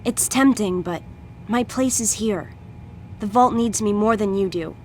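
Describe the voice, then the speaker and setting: A woman's voice: medium pitch, a little slow, darkish tone, slight background noise, lightly acted. An actress playing a loyal, earnest young woman in a video game, speaking in a heartfelt, urgent dramatic style. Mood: wistful, resigned.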